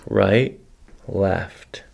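A man working as a chiropractor, gently and reassuringly talking a patient through an ASMR exam step by step. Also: low voice, drawn-out words, creaky, background noise. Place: small carpeted office treatment room. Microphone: microphone on a boom stand near the table.

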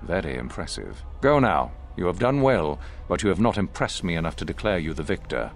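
Stern dark-side academy master for a video game: deep, animated intonation, measured and imperious. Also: male voice, soft background music. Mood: approving, imperious. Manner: measured, formal, deliberate. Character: stern, appraising male Sith academy master. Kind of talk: video game character acting.

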